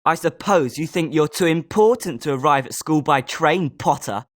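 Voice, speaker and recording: A man's voice: fairly high pitch, animated, normal speed, close to an everyday voice. A man playing a British schoolboy wizard in a video game, speaking scripted lines expressively. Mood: sneering. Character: schoolboy wizard student, polite but sometimes sneering.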